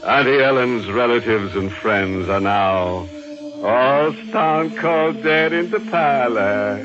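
Actor as a slow, ghoulish radio horror host. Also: male voice, medium pitch, close to an everyday voice. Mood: macabre glee. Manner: tongue-in-cheek, drawn-out, chuckling. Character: ghoulish, gleefully macabre horror-show host with mocking humour. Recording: muffled vintage radio recording with music.

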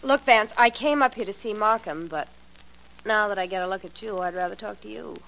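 A woman's voice: medium pitch, a little fast, creaky, a bit bright, low-quality recording. An actress playing a blunt, streetwise young woman who fears for her life, in a 1940s radio drama. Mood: tough. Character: tough, wisecracking young woman in danger.

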